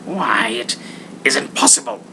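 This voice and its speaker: An emphatic, bewildered old miser out of a storybook, acted out by a male reader, theatrical and exclamatory. There is a clear rumbling hum in the homemade recording. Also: lively intonation, unhurried, close to an everyday voice. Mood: bewildered disbelief.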